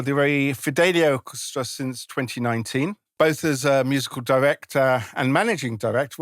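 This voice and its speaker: A man hosting a podcast, friendly and curious, with quick follow-up questions. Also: medium pitch, fairly bright tone, dry recording, studio quality. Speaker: podcast host. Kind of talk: interview host.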